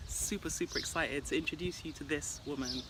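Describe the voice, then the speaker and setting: A woman's voice: low-pitched, fairly quick, noisy background. A woman hosting an interview, bubbly and enthusiastic, with many affirming murmurs. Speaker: host, success coach. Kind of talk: interview host.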